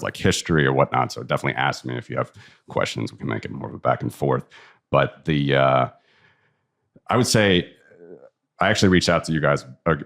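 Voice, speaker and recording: Deep gravelly American man. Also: a bit bright. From American Midwest. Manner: opinionated, frank. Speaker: guest. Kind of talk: casual interview talk.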